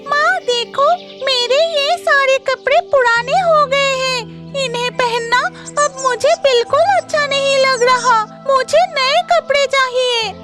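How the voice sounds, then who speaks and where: This is a vain young girl bird from a children's cartoon, voiced by a woman. The voice is extremely high and slow, demanding and spoiled, with music playing behind it. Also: sharp tone, exaggerated voice. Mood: stubborn. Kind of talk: Hindi children's cartoon dialogue.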